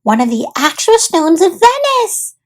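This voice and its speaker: Casting: eager little piglet girl for a storybook, voiced by a woman, very animated, pleading, high. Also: measured pace, full character voice. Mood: excited. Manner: pleading, theatrical.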